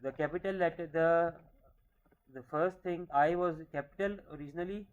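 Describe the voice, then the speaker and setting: A man's voice: a little high, moderate pace, clear, resonant tone, phone-quality sound. A man in his thirties teaching a programming class, explaining step by step and often checking in with "okay?". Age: 30s. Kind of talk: screen-recorded coding lecture.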